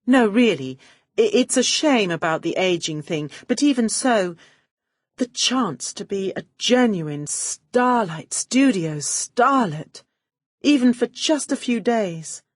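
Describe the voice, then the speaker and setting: A woman playing a sly female detective in an audiobook, feigning sweet helplessness in a coaxing, drawn-out British delivery. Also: mid-range voice, expressive, no room sound, studio quality, voice pushed up. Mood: coaxing, fawning.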